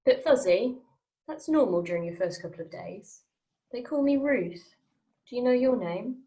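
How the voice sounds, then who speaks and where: A woman reading the part of a calm, matter-of-fact guide to the afterlife in her late thirties, at a script table read. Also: fairly high pitch, normal speed, highs cut off.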